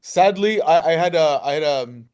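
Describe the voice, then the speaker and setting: A man with a fairly high voice talks casually as an interviewed filmmaker. He explains ideas in a conversational way, doubling back on the first words of his phrases. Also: lively intonation, normal speed, darkish tone, clean tone.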